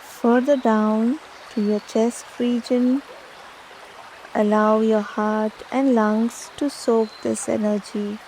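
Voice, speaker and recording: A woman leading a guided meditation, giving soothing, measured instructions with long pauses between phrases. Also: a little high, steady tone, darkish tone, a little hiss or hum, rough recording. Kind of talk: slow guided meditation narration.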